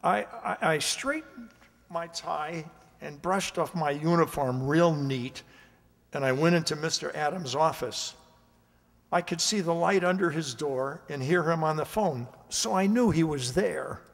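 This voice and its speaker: An actor playing a timid, amiable night security guard in a radio drama, hesitant and folksy, stammering at times. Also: male voice, on the high side, a little slow, vocal fry, in a large hall. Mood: earnest. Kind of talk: radio drama acting and narration.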